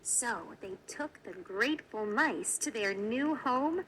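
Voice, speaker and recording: A woman reading a children's read-along story in an expressive narrating style. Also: fairly high pitch, slow, very noisy. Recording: music behind the voice.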